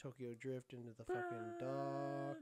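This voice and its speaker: A man's voice: medium pitch, huge pitch swings, unhurried, a little mellow, a little hiss or hum. A man co-hosting a game playthrough, joking casually and crudely and swearing often. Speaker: gaming video co-host. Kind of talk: casual joking let's play banter.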